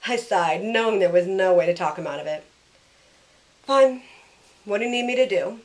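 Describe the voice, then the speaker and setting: A woman's voice: mid-range voice, normal speed, a little mellow, natural voice. A woman playing a sardonic, loyal young heroine who narrates her own story in an audio drama. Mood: wry, exasperated.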